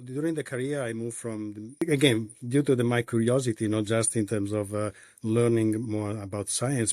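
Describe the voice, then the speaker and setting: Italian guy, kinda low voice, dry sound, lots of uhs and restarts as he explains. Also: mellow, no room sound. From Italy. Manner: halting, many pauses and restarts. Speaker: guest, company executive. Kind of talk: interview answers.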